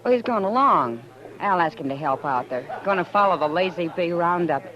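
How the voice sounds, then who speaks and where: A woman's voice: fairly low pitch, expressive, normal speed, noisy background, close to an everyday voice. A woman playing a friendly, sociable saloon woman in a 1950s radio western, breezy and familiar in manner. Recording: dull old radio recording, crowd chatter.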